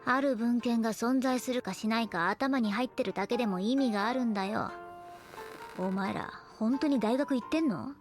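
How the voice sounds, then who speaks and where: An actress playing a gruff, blunt but caring woman researcher in a Japanese anime audio drama. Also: fairly high pitch, normal speed, faint music behind, natural voice. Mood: dry, lecturing. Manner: blunt, rough-spoken, grumbling.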